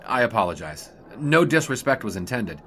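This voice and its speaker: A man's voice: medium pitch, big pitch swings, fairly bright tone, slight background noise. A man playing a polite but persistent 1920s newspaper reporter in a period drama, asking careful questions. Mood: cautious, insistent. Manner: measured, careful, probing questions. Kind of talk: period radio drama acting.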